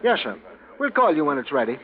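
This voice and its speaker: An actor playing a courteous but firm, businesslike airline check-in clerk in a 1940s radio drama. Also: male voice, fairly high pitch, sing-song, quick pace. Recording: tinny 1940s radio recording.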